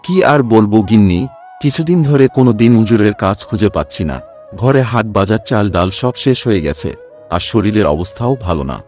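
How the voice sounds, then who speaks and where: A man voices a poor, weary husband who works as a day labourer in a cartoon tale. His voice is fairly low, with a humble, plaintive manner. Faint music plays behind him. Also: animated, fairly quick, a little mellow, natural voice. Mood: worried, weary. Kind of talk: cartoon story voice acting.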